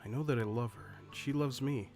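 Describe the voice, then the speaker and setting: A man playing an earnest, worried high school student who narrates a visual novel in the first person. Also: a little fast, darkish tone, soft background music, natural voice. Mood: troubled, brooding.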